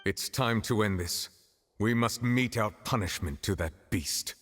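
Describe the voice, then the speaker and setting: A man playing a solemn, regal judge of ancient power in a video game, speaking with formal, grave, measured diction. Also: lowish voice. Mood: grave, resolute. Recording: loud game music behind.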